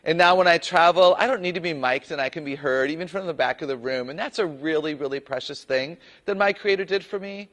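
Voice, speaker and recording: A woman with a low voice, a public speaker telling a personal story in an animated, playful way. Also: a little fast, few pauses. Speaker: guest speaker. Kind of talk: personal story told to camera.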